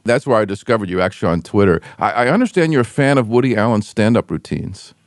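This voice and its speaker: Male radio host, measured prepared delivery, dry close studio mic. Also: on the low side, expressive, dry recording. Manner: measured, reads prepared questions. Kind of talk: scripted introduction and interview questions.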